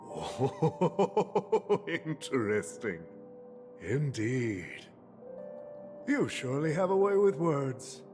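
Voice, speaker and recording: An actor playing a shrewd, flamboyant businessman in a video game, with a theatrical, amused, drawn-out delivery. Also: mid-range voice, sing-song, a little mellow, soft background music. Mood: intrigued, smug. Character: shrewd, flamboyant male business magnate in negotiation.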